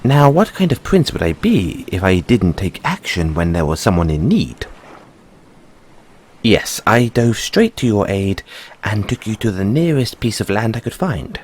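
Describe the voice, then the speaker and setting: A man's voice: lowish voice, very animated, a little slow, a little hiss or hum. A man playing an earnest, cheery merman prince in an audio roleplay, speaking in a theatrical, exclamatory way with genteel, fussy politeness. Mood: patient, explaining. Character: young, cheery, dramatic, naively earnest merman prince of Atlantis. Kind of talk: audio roleplay addressed to the listener.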